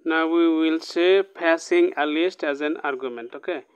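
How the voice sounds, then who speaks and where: A man's voice: a little high, moderate pace, clean tone, dull audio. A man teaching a programming lesson, reading code aloud line by line and often checking in with "okay?". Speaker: programming tutor. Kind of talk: casual screen-recorded coding lesson.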